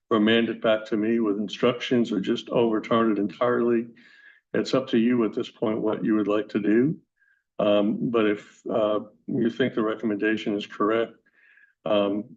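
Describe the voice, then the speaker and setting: A male hearing officer explaining procedure to a commission in a measured, explanatory way. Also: fairly low pitch, darkish tone. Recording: dull lo-fi hearing room audio.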